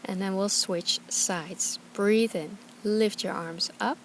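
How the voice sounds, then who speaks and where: A woman teaching yoga and guided meditation, speaking calmly and soothingly in short, gentle instructions. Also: medium pitch, slow pace, slight background noise, poor audio. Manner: calm, gentle, soothing guidance with short phrases.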